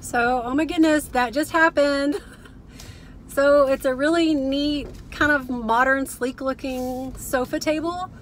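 A woman's voice: high voice, steady tone, unhurried, slight background noise. A middle-aged woman chatting casually to viewers in a rambling, chatty way, recorded inside a car. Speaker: vlogger, thrift reseller.

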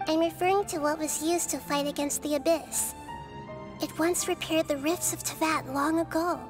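A woman voicing a gentle, wise young girl deity in a video game, speaking in a calm, explanatory, reassuring manner. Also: sits high, moderate pace, close to an everyday voice. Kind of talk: video game character acting. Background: game music.

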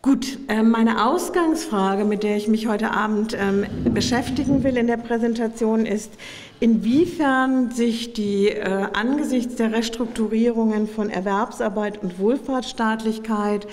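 A middle-aged woman, slightly hoarse from a cold, gives a measured, formal academic lecture, explaining as she goes. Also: a little high, a little mellow. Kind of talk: public lecture. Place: indoor lecture room at a podium. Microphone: podium microphones. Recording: reverberant lecture hall.

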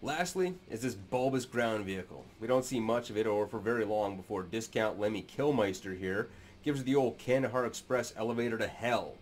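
A man's voice: fairly low pitch, fairly quick, a little hiss or hum. A man in his thirties hosting a sci-fi channel, seated in a small room and talking to his webcam with sarcastic, crude, mock-serious commentary. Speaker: sci-fi narration channel host. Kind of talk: sardonic commentary on camera.

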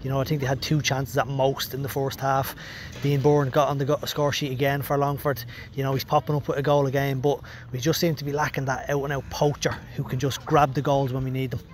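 A young man puts post-match questions as a club interviewer. He speaks briskly in a flat, even pitch. He is recorded outdoors on a handheld recorder, with faint background noise. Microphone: handheld recorder at arm's length.

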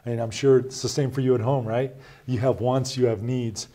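A man's voice: mid-range voice, steady tone, mellow. A man in his fifties from Wyoming, a Senate candidate answering interview questions in a small TV studio, earnest and emphatic, often tagging "right?" onto his points. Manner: earnest, measured, emphatic with rhetorical "right?" asides. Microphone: lapel.

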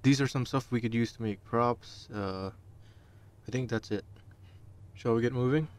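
A man voicing a shy, awkward high school boy in an audio roleplay, hesitant, self-conscious and stammering. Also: normal speed, close to an everyday voice. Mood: flustered, polite. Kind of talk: amateur audio roleplay acting.

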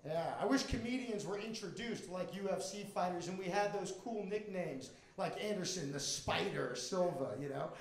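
Stand-up comic, male, middle-aged, American, very high-pitched, roomy club sound with audience. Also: normal speed, gravelly, few pauses. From Miami, Florida, United States. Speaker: stand-up comedian and magician. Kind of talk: stand-up comedy routine. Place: indoor comedy club stage. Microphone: handheld microphone. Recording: echoey comedy club with audience. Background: live audience.

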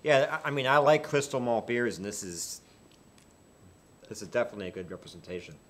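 Relaxed man musing over a beer. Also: medium pitch, a little fast. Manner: relaxed, musing, many fillers and restarts. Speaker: beer reviewer co-host. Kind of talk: casual tasting chat. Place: small indoor studio set with dark curtain.

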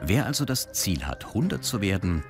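A man reading scripted voice-over narration for a science report in a measured, explanatory way. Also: low-pitched, big pitch swings. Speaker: documentary narrator. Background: music bed.

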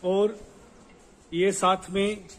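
A man giving a slow, explanatory walkthrough tour of an unfinished commercial building, pointing out each floor. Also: high voice, flat delivery. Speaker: property dealer giving a site tour. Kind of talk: walkthrough property tour narration. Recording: echoey, roomy building with ambient noise.

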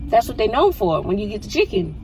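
A woman's voice: medium pitch, sing-song, a little fast, background noise. A middle-aged woman hosting a casual food review vlog from inside a parked car, chatting, explaining and asking follow-up questions into a dashboard phone camera. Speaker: vlog host, mother. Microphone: phone camera mounted on the dashboard.

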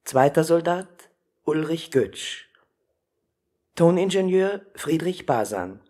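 Casting: male vintage radio announcer, slightly high husky voice, slow formal credit reading. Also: sing-song, a little mellow.

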